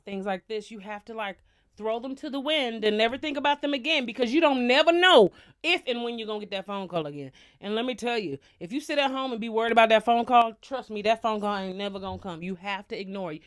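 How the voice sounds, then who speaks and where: A young American woman telling stories casually to her viewers, chatty and animated, full of slang and asides. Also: a little high, quick pace. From United States. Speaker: vlogger, singer. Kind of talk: casual storytelling to camera.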